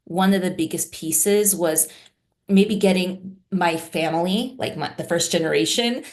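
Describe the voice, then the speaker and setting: A woman's voice: lowish voice, a little slow, a little mellow. A woman therapist raised in the United States, talking reflectively in an interview, with many "you know" and "right?" fillers. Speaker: therapist guest.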